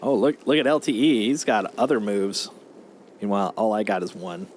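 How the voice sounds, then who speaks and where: A man's voice: on the low side, animated, normal speed, a little hiss or hum. A man chatting casually and playfully with friends as he streams a game live. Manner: playful, banters and mock-pleads. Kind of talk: casual gameplay chat with friends.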